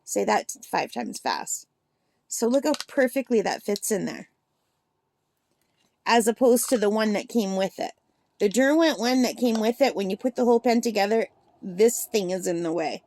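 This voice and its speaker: A woman with a slightly gravelly voice chatting and gushing as she swatches paints in a casual demo at her craft table. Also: mid-range voice, animated, normal speed, darkish tone, slight background noise. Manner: chatty and gushing, thinks aloud. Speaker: art supply reviewer.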